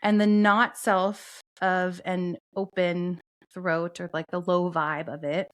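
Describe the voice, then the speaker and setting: Lower-voiced woman calmly explaining. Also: slow, clear, resonant tone. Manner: calm, explanatory, steady teaching tone. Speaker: podcast co-host. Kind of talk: explaining astrology and human design concepts.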